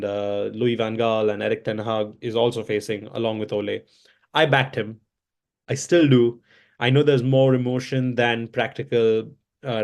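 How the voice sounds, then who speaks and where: Hoarse guy chatting in an interview, rambles and restarts a lot, lots of uh and um. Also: mid-range voice, darkish tone. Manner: rambling, self-correcting, many fillers. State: hoarse from chanting. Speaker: interview guest, events entrepreneur. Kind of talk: casual interview answers.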